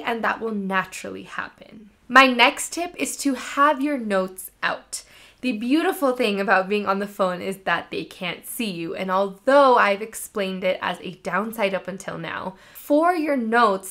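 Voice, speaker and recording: A woman speaking as a career coach, giving instructional advice in an expressive, emphatic, conversational way. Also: medium pitch.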